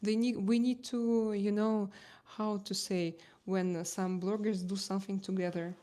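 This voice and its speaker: A woman live streamer with a Russian accent talks casually to her viewers. Her voice sits at a middle pitch. As a native Russian speaker she goes haltingly, often pausing to hunt for the right word. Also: flat delivery, mellow. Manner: halting, searching for words in non-native English.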